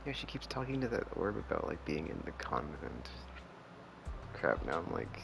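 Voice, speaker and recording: A man giving casual live game commentary in a very deep voice. His intonation swings widely and breaks into heavy vocal fry as he rambles and thinks aloud. Music plays behind him. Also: big pitch swings, normal speed, background noise. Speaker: game streamer.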